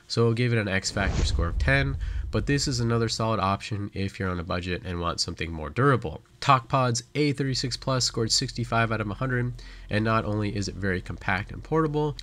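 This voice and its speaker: A man's voice: lowish voice, fairly bright tone. A man reading scripted product review narration in an explanatory, methodical way. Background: background music.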